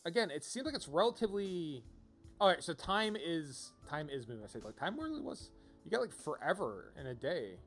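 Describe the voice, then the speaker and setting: Higher-voiced man streaming a game. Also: sing-song, brisk, soft background music. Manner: rambling, thinking aloud. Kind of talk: casual livestream game commentary.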